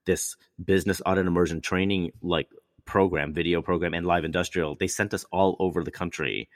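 Very low-voiced man telling stories. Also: fairly even intonation, a little fast, no echo, clean studio sound. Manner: rambling, many restarts and quoted asides. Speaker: actor. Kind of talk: casual storytelling chat.

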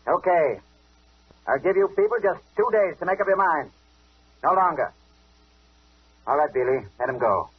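A man's voice: on the high side, lively intonation, moderate pace, pauses often, natural voice. An actor playing a brusque, commanding police inspector and father in 1940s radio drama style. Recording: dull hissy old radio recording.

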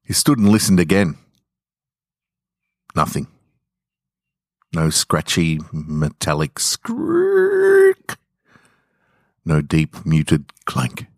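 A man narrating scripted short stories in a deliberate, measured, dramatic storytelling manner. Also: low voice, huge pitch swings, gravelly, no room sound, clean studio sound. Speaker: podcast host reading short stories.

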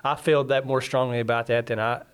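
Earnest fast-talking Southern man. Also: mid-range voice, little pitch movement, clear, resonant tone. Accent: Southern American. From Southern United States. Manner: earnest, quick-talking, frequent restarts. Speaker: missionary pastor. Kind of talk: church interview conversation.

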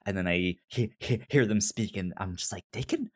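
An American man hosting a casual TV discussion, with a stutter that repeats syllables and word starts and a halting, informal delivery. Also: fairly low pitch, animated. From United States. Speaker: podcast host.

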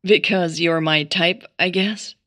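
A woman's voice: fairly low pitch, steady tone, takes it slowly, fairly bright tone, no room sound, clean studio sound. A woman playing an awkward, earnest, chatty woman in an audio drama, hesitant in how she talks. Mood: awkward, sheepish.

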